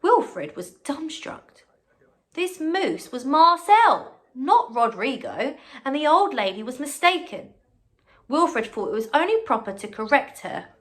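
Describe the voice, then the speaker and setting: A woman's voice: high voice, unhurried. A woman reading a picture book aloud as a primary teacher, expressively, with chatty asides and questions to the children. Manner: expressive, encouraging, asks the listener questions. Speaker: primary school teacher reading a story to pupils.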